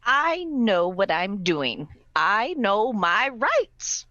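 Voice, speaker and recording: Woman acting a slow, bright-voiced stubborn mother. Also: medium pitch, sing-song, dry recording, dull audio, heavily acted. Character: stubborn, greedy older mother of a boy, proudly honest. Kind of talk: live radio play acting.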